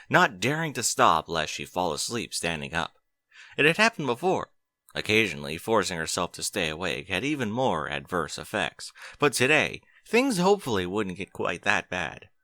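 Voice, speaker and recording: Male audiobook narrator, lowish voice with animated intonation, scripted fiction, close dry sound. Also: normal speed, no echo.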